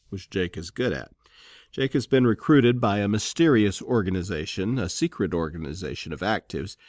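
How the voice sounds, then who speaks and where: A man with a slightly low voice announces for a podcast. He reads from a script, in the way of narration and sponsor reads. The recording is dry. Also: darkish tone, dry recording.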